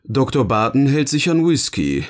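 A man's voice: medium pitch, sing-song, normal speed, close to an everyday voice. A man acting a teasing, philosophical partner in scripted audio-novel dialogue, with a theatrical, questioning manner. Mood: musing. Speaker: voice actor, narrator.

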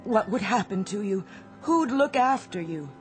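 An anxious mother, played by a woman in a radio drama, mid-pitched and animated. She chides and pleads, with music clearly behind her. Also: moderate pace, natural voice. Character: anxious, chiding mother of a grown daughter.

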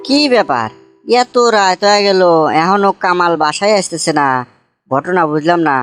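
A man voicing a concerned, solemn cartoon migrant worker, speaking deliberately in a Bogura dialect of northern Bangladesh. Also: high voice, a bit bright, clear, resonant tone, soft background music. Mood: puzzled. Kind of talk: amateur comedy cartoon dubbing.

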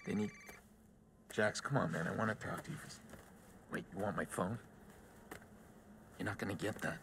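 An actor playing a grim, guarded vigilante hacker in a video game, gravelly and terse. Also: male voice, normal speed, fairly bright tone, slight background noise. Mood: coaxing. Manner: terse, low-key. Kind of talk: cinematic video game acting.

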